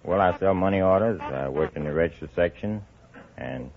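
Male contestant from Mississippi, low voice, plain literal replies, dull vintage radio with audience noise. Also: brisk, creaky, a crowd in the background. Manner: plain, literal, brief answers. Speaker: quiz show contestant, postal clerk. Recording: dull old radio recording, studio audience. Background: studio audience.

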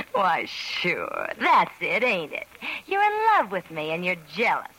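A woman's voice: fairly low pitch, wildly swooping pitch, slow pace, bright, forceful tone, breathy edge, full character voice. An actress playing a cagey, slangy young American carnival showgirl in a 1940s radio drama. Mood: taunting. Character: cagey, brassy carnival showgirl with a sharp tongue. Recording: faintly noisy old radio recording.

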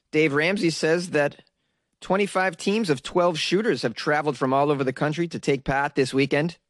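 Guy reading news articles n riffing sarcastically, kinda high voice, super dry close mic. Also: dry recording. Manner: sarcastic, rambling, jokey asides to viewers. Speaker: solo news commentary host.

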